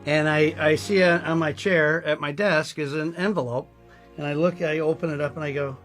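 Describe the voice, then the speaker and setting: A man with a slightly high voice tells an anecdote casually, acting out who said what. Faint music plays behind him. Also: fairly even intonation, moderate pace, fairly bright tone. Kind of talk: animated storytelling anecdote.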